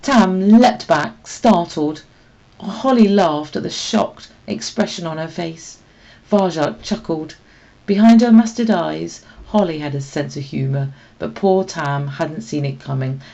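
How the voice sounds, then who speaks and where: A woman narrates a children's story expressively. Her voice is low and soft-toned with some creak at the edges, and the recording is a little muffled. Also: a little slow. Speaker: storybook reader.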